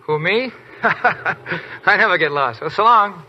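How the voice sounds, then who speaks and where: A goofy cheerful young soldier. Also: male voice, high-pitched, lively intonation, takes it slowly, sharp tone, dull audio, full character voice. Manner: boyish, laughing. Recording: noisy 1940s radio broadcast.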